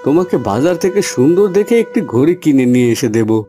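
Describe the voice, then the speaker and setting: A man's voice: a little high, sing-song, a little fast, dark tone. A man voicing a humble, hesitant poor farm labourer and father in a fairy-tale cartoon. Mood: worried, resigned. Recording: music under the voice.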